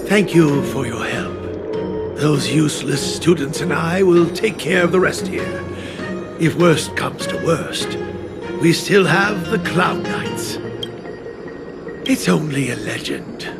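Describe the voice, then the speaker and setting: High, creaky-voiced stern artisan. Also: male voice, slow pace. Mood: resolute. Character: stern, earnest master artisan who tends an ancient furnace. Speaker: video game character. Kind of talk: scripted game cutscene dialogue, expository storytelling. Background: prominent music.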